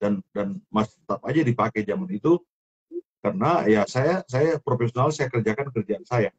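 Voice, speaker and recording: A man's voice: mid-range voice, animated, breathy edge, lo-fi sound. A middle-aged man with a strong Sulawesi accent, telling his life story as an interview guest, often repeating and restarting his words. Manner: repetitive, halting, many restarts. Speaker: guest, former film actor turned minister. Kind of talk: testimony interview.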